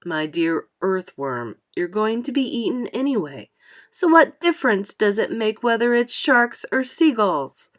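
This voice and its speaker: A woman's voice: mid-range voice, sing-song, measured pace, pitched above the performer's own voice. A woman voicing a scornful, sardonic male centipede in a storybook. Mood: mocking. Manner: sardonic, dismissive.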